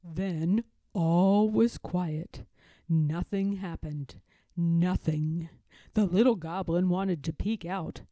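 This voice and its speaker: A woman storyteller reading a children's picture book aloud in a dramatic, expressive way with suspenseful pauses. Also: fairly low pitch, no room sound.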